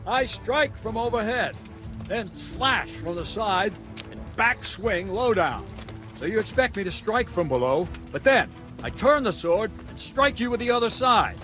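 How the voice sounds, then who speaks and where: A man's voice: sits high, sing-song, measured pace, music in the background. A man playing a stern, demanding medieval swordmaster in a video game, giving blunt, commanding, instructive lines to a pupil. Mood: instructive, measured. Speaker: combat trainer.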